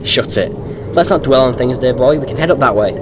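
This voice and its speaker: A man's voice: medium pitch, animated, brisk, background noise, close to an everyday voice. A man playing a jaunty, impatient, eccentric time-travelling adventurer in a sci-fi audio drama. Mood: breezy.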